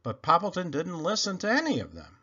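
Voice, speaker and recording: A man narrating a children's storybook in an expressive read-aloud style. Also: sits high, brisk, lo-fi sound. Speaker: storybook reader.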